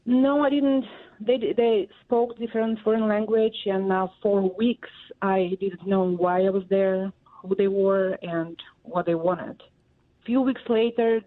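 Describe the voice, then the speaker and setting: A woman in her forties from Russia, speaking English with a Russian accent as an interview guest, halting and full of fillers. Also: mid-range voice. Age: 40s. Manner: halting, many fillers and pauses. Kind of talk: interview answers. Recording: tinny phone line.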